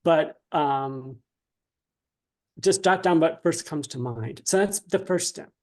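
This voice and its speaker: A man speaks as a guest writer in an interview, his voice pitched a little above average. He explains step by step in a hesitant, measured manner, informal but instructive. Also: darkish tone.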